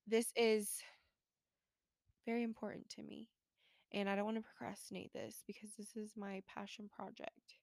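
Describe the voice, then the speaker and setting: A woman's voice: medium pitch, no room sound, clean studio sound. Chatty young American woman hosting a podcast. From United States. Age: young adult. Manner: chatty, informal, rambling with frequent "like". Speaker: podcast host. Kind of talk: casual stream-of-consciousness podcast intro.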